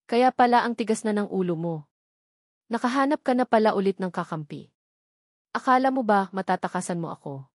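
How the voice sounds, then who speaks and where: A woman's voice: mid-range voice, expressive, brisk, pauses often, dry recording, studio quality, close to an everyday voice. A woman voicing a cold, scornful, domineering older mother in a narrated story, haughty and threatening.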